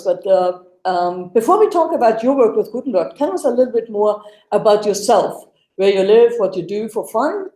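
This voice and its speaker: A woman's voice: medium pitch, dark tone, roomy sound, low-quality recording. A woman, hosting a live web Q&A show over a video call. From Germany. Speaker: host. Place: home room, video call.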